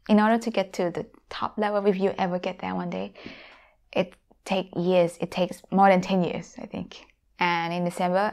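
A woman's voice: fairly low pitch, gravelly, a little mellow. A woman in her thirties from Thailand, speaking English with a Thai accent, talking casually and directly to viewers from a room at home on a lapel mic. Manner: friendly, direct address to viewers. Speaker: YouTuber and language teacher. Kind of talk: casual talk to camera. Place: home room with guitars on the wall.

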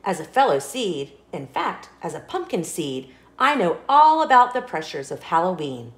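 A woman's voice: lowish voice, big pitch swings, moderate pace, roomy sound, voice pushed down. A woman voicing a polite, wise, cheerful little pumpkin seed in a children's storybook, courteous and earnestly advising. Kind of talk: children's storybook read-aloud.

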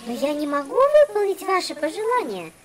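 Casting: woman playing servile cartoon genie girl, very high childlike pitch, lively melody, formal and flattering. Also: a little fast, a crowd in the background. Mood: apologetic flattery. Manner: deferential, formal address. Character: obedient, flattering young genie girl doll.

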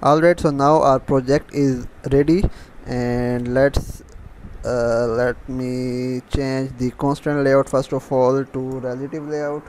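A man hosting an unscripted programming tutorial, explaining in a halting, repetitive way. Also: mid-range voice, level tone, darkish tone, slight background noise, rough recording. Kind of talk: unscripted software tutorial narration.

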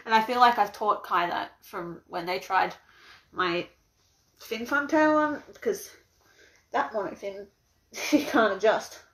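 A woman's voice: medium pitch, unhurried, long pauses, fairly bright tone. Giggly young Australian woman. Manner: chatty, giggly, rambling with frequent laughs. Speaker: vlogger. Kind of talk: casual live-stream unboxing. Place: small cluttered bedroom, indoors. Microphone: phone camera propped on a mug.

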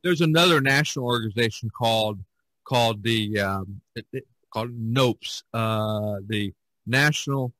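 A man with a bright, forceful voice hosts a casual podcast chat. He rambles along sociably at an average pitch, and the sound is very dry, with little room echo. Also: a little slow. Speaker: podcast host and painter.

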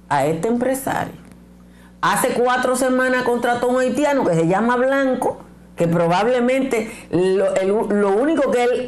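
Blunt older Dominican woman commentator. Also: a little high. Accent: Dominican Spanish. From Dominican Republic. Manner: emphatic and blunt, gesturing with her hands. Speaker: political commentator. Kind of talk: opinion commentary to camera. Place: small indoor studio set with bookshelves.

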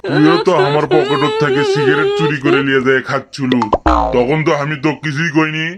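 A man voicing an indulgent, gruff old grandfather in a comic cartoon, with a northern Bangladeshi accent. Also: a little high, sing-song, a little fast, loud music behind the voice. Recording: noisy cartoon soundtrack with clicks.

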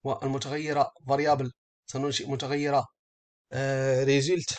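A man giving a step-by-step software tutorial as an instructor, speaking haltingly and often rephrasing as he explains. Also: medium pitch, flat delivery.

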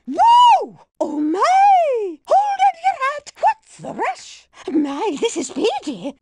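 A woman's voice: high-pitched, background noise, exaggerated voice. A woman voicing a dotty, chatty grandmother driving in a race, with prim, old-fashioned exclamations. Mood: thrilled. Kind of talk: video game voice lines.

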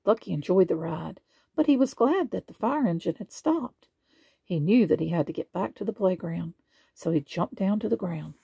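A woman reading children's bedtime stories aloud in an expressive way. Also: lowish voice, normal speed, gravelly, husky, no echo, clean studio sound. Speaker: storybook reader.

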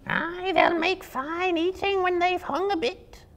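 A man's voice: takes it slowly, a bit bright, exaggerated voice. A man voicing hungry, malicious giant spiders from a storybook, hissing and drawing out their words with menace. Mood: gleeful, scheming. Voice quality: hissing, shrill.

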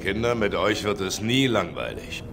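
An actor playing an older, gruff, dry-witted veteran soldier and father in a dubbed video game, terse and commanding. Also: fairly low pitch, big pitch swings, moderate pace, over music. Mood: dry, calm. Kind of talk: German video game dubbing.

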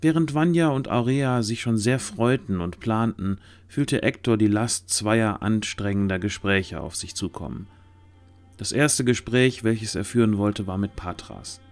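A man with a lowish voice narrates a fantasy audio drama. He reads scripted storybook prose, with soft music underneath.

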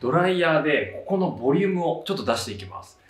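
Echoey, lively-pitched man giving a tutorial. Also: rapid-fire. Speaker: hairdresser giving a tutorial, channel host. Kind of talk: casual step-by-step instructional narration. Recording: roomy echo, distant mic, faint music. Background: faint background music.

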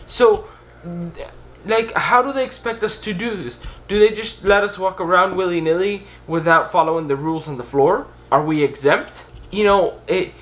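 A young man with a fairly high voice talks straight to the camera in a casual vlog. He is earnest and animated, and his pitch climbs when he presses a point. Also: normal speed. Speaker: vlogger on blindness. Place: indoors, a green-screen backdrop of store photos.

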